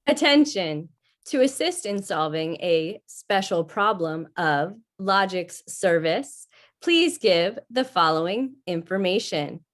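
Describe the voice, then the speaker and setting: A woman voicing a polite, impersonal computer in an old-time radio drama, speaking in a formal, measured way. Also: fairly low pitch, expressive. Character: polite, impersonal talking computer giving prompts.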